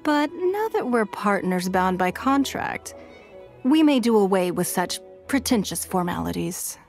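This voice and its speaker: A woman voicing a poised, calculating, wealthy noblewoman in a fantasy video game, speaking in a measured, formal and haughty manner. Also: mid-range voice, big pitch swings, soft background music. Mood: composed, confident. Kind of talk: video game character voice lines.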